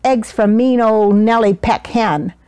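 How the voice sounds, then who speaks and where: A woman voicing a steady, reassuring old country grandmother in a storybook, with folksy, gentle coaxing. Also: a little high, slow, smooth. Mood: urgent.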